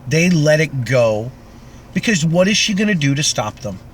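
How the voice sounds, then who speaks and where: A man's voice: a little high, sing-song, normal speed, a little hiss or hum. A man co-hosting a casual podcast chat, talking in a laid-back, terse way. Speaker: podcast co-host.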